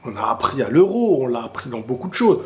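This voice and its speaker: A man with a cold and a sore throat, talking casually and excitably about football to his viewers, often rambling into quick asides. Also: mid-range voice. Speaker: football video creator answering viewer questions. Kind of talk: casual opinionated football talk to camera.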